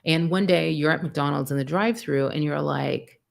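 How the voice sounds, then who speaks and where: A middle-aged American woman giving a live spiritual sermon, frank and profane, acting out dialogue in an animated way. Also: low-pitched, normal speed, creaky. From United States. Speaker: spiritual teacher.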